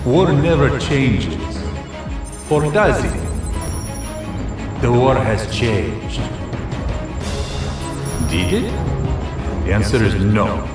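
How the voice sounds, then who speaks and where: A man's voice: mid-range voice, lively intonation, drawn-out words, pauses often. A man voicing a melodramatic villain in a parody game trailer. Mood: solemn. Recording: echoey with loud music.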